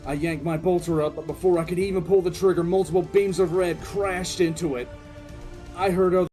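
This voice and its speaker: An actor playing a battle-hardened military commissar who recounts combat with grim relish in an intense, theatrical audio drama narration. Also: male voice, high-pitched, fairly even intonation, warm tone, soft background music, lightly acted. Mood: intense, fierce. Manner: intense, theatrical, drawn-out emphatic delivery.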